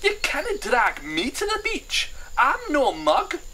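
A man's voice: extremely high pitch, huge pitch swings, slow pace, pushed and bright, a little hiss or hum, voice pushed up, heavily acted. A man voicing a whiny, grumpy young Scottish storybook character who complains indignantly. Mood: weary, whining.

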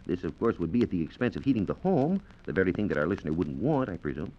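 An American man giving formal, measured scientific explanations as a reporter on a 1940s radio program. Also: sits low, very animated. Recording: dull, band-limited 1940s radio transcription disc.

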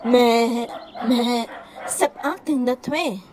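A woman voicing a sensible sheep in a puppet show, bleating and speaking deliberately. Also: a little high, darkish tone. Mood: questioning.

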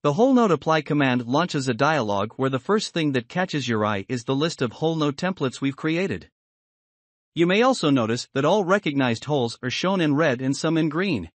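A man giving scripted, instructional software tutorial narration in a measured, explanatory manner. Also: fairly high pitch, no echo.

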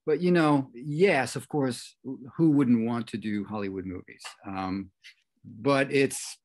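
Guy, middle aged, chatting on a video call, feels his way through sentences, average pitch. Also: slow, darkish tone. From Canada. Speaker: film composer being interviewed. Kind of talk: casual interview chat. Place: indoor room on a video call.

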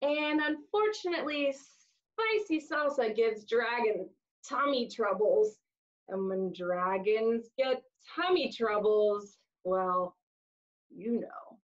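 Very animated, high-voiced woman reading to kids. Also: dull audio. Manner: expressive, exaggerated emphasis, drawn-out words. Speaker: children's storytime reader. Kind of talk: animated picture-book read-aloud for young children. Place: small room indoors, seated on floor.

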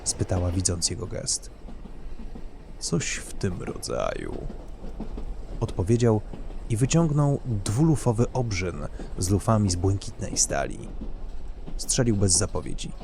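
A man's voice: on the low side, expressive, moderate pace, background noise. A man narrating a scripted dramatic story as its reader.

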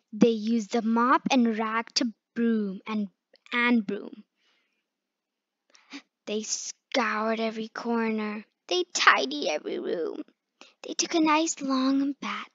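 Slow, high-voiced woman reading a storybook. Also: fairly bright tone. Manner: halting, stumbles over hard words. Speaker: read-aloud storyteller. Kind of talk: reading a children's picture book aloud. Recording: muffled, echoey room recording.

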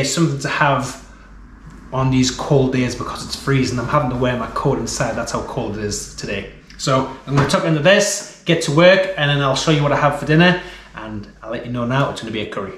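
A man in his thirties with an English accent, chatting casually to camera in a vlog and explaining things as he goes, recorded in a small home kitchen on the camera's mic. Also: fairly high pitch. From England. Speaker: running vlogger. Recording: echoey kitchen room sound.

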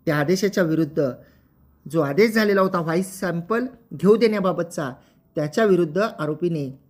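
Formal, methodical legal explainer, medium pitch. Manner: formal, methodical, repeats and restates points.

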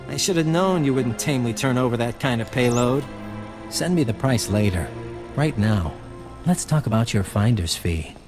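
An actor playing a flirtatious resistance fighter in a video game, easygoing, confident and wry. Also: male voice, mid-range voice, lively intonation, unhurried, music in the background, natural voice. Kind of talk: video game dialogue acting.

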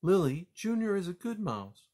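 A man voicing a gentle, anxious mother mouse in a storybook, speaking politely and earnestly with some hesitation. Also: high-pitched, sing-song, moderate pace, pitched above the performer's own voice. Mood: gentle, defensive.

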